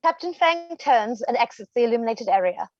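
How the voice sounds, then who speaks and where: Dull-sounding woman narrator, higher-pitched. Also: animated, fairly quick, no room sound. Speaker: stage directions narrator. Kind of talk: scripted narration.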